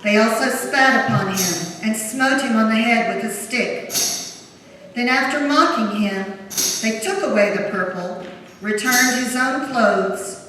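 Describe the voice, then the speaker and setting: A woman's voice: fairly high pitch, a little slow, sharp tone. Distant reverberant church, faint noise, woman narrator, solemn dramatic scripture reading. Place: large church during a service.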